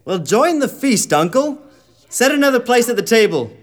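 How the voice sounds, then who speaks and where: An actor playing a warm-hearted, astonished nephew in a radio drama, hesitant yet hearty. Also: male voice, extremely high pitch, big pitch swings, moderate pace. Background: crowd and music.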